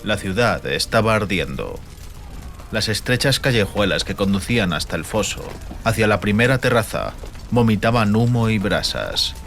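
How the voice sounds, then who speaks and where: Deep-voiced man narrating dark fantasy over music. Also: animated. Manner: solemn, measured, theatrical delivery. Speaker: audiobook narrator. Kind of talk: dramatic scripted fantasy audiobook narration. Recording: music bed under the voice.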